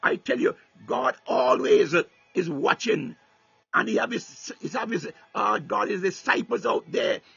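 Fervent preacher, gravelly and husky. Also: male voice, high voice, lively intonation, a little slow, fairly bright tone, no room sound. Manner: fervent, repeats "my God" between phrases; breaks into tongues. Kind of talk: sermon with prayer. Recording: dull lo-fi recording.

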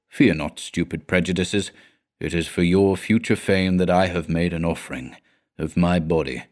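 Devoted elderly music master male reader acting, very deep, grave, hushed, gothic storybook. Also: close to an everyday voice. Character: solemn, devoted old music teacher's farewell letter.

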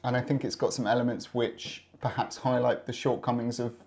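A man's voice: medium pitch, little pitch movement, creaky, a little mellow. A man co-hosting a podcast, making wry, teasing remarks in casual chat. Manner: wry, teasing asides, quick to laugh. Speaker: podcast co-host.

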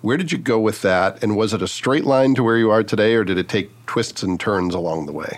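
Male podcast host with a lower voice, encouraging interviewer who affirms often. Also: fairly quick. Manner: friendly, encouraging, frequent affirmations.

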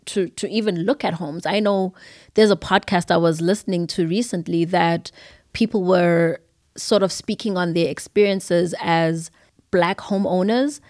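A woman's voice: on the low side, darkish tone, no echo, clean studio sound. A young adult woman from Zimbabwe with a Zimbabwean accent, talking thoughtfully as a podcast guest. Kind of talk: casual conversation.

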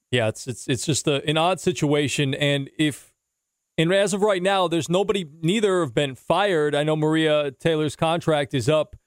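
A man hosting a casual sports talk interview, talking in a halting, rambling way with repeated phrases. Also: mid-range voice, clear, resonant tone, no echo, clean studio sound. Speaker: podcast host and interviewer.

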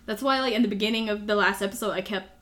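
A woman's voice: mid-range voice, racing, fairly bright tone. A young woman from Thailand, a native Thai speaker, co-hosting a podcast in casual chat, giggling often and using many fillers. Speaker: podcast co-host.

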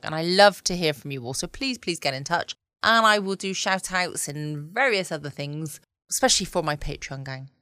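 A southern English woman hosts a late-night podcast from home. Her voice is fairly low and recorded very dry, and she chats in an informal, cosy way. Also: sharp tone, clear, resonant tone, no echo. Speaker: podcast host. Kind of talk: casual bedtime podcast chat. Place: at home, indoors.

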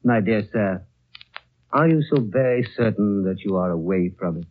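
An actor playing a courteous, serene elderly Chinese envoy in a 1930s radio drama, speaking with formal, measured politeness. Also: male voice, fairly low pitch, animated, background noise, close to an everyday voice. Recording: muffled, tinny 1930s radio recording.

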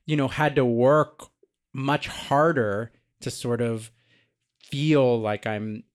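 A man's voice: mid-range voice, fairly even intonation, takes it slowly. A man co-hosting a podcast, speaking reflectively with hesitations and fillers. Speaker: podcast co-host. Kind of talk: casual podcast conversation.

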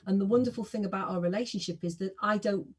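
Sixties woman, husky voice, warmly enthusiastic guest who often restarts her sentences. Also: mid-range voice, fast. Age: 60s. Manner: enthusiastic, self-deprecating, frequent restarts. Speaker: podcast guest, singer. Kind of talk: casual interview chat.

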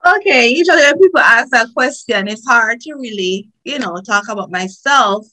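Jamaican lady guest on a podcast, bright clear voice, rambles along with lots of "you know". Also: on the high side, lively intonation, moderate pace. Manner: chatty storytelling, many fillers. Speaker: guest, tax lawyer. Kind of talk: podcast interview.

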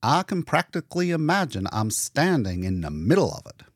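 A man's voice: mid-range voice, sing-song, moderate pace, no room sound, clean studio sound, voice pushed up. Man putting on a breezy, lilting hospital orderly. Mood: admiring.

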